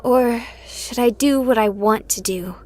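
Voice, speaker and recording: A woman playing an excitable, jokey young streamer in a haunted hospital video game, expressive and rambling. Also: a little high, measured pace, lightly acted. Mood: nervous, joking. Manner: expressive, rambling, wisecracking to an unseen audience.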